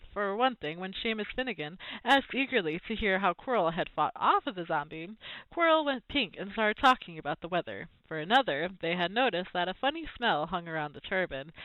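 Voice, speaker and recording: A woman narrates a children's book with very lively, swooping intonation and dramatic emphasis. Her pitch sits in a middle range, and the recording is dry and slightly muffled. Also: no echo. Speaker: storybook reader. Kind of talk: expressive children's book read-aloud narration.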